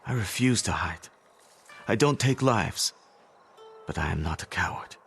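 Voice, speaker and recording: An actor playing a stubborn, principled pacifist healer monk, earnest and pleading, in a dramatic video game cutscene. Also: male voice, lowish voice, animated, unhurried, vocal fry, a bit bright, husky, exaggerated voice. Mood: reproachful, defiant. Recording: background music under the dialogue.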